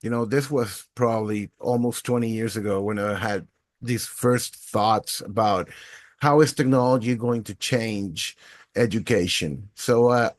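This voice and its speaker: A man's voice: lowish voice, unhurried, dry recording. A man in his forties from Venezuela, speaking English, telling his story as a podcast guest in a thoughtful, fluent way. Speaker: podcast guest, education technologist. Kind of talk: interview answers.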